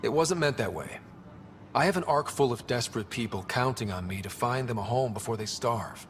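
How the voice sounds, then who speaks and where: A man's voice: mid-range voice, expressive, brisk, a little hiss or hum, lightly acted. An earnest young explorer and leader, played by a man, speaking in a natural mid-range voice. The delivery is sincere, conversational game dialogue, with faint ambience behind it.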